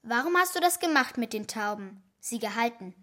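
Helles, trotziges junges Mädchen. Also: female voice, on the high side, animated, fairly quick, bright, forceful tone. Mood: curious. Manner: insistent, contrary. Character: stubborn, cheeky young girl, jealous daughter. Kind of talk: children's radio play acting.

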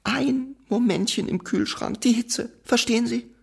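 An actor voicing a tiny, odd, polite penguin-like gentleman in a German children's radio play. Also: mid-range voice, measured pace, vocal fry, slightly rough. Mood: pleading, flustered. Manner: polite, formal, quaint.

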